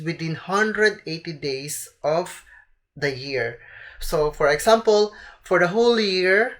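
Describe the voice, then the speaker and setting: Young Filipina vlogger with a Filipino accent and a slight cold, chatty, talks to camera. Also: female voice, fairly low pitch. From Philippines. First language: Tagalog. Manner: chatty, keeps addressing viewers as "guys". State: has a cold. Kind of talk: casual advice vlog to camera.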